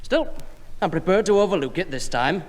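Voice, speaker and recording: A man's voice: high voice, expressive, normal speed. An actor playing a formal, patient but officious policeman in a 1960s radio comedy. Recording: reverberant old radio studio recording.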